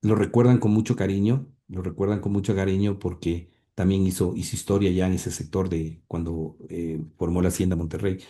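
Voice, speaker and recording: Man, low dark mellow voice; earnest, hesitant interviewee with lots of fillers. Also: steady tone. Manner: halting, many fillers and repeated words; earnest, heartfelt. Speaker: interview guest, grandson and author. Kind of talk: conversational interview, reading quotes aloud.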